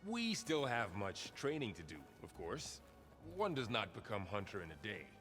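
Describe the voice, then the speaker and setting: An actor playing a calm, earnest and supportive warrior companion in a video game. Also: male voice, lowish voice, normal speed, soft background music. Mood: patient. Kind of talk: video game cutscene acting. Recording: long echoing hall reverb.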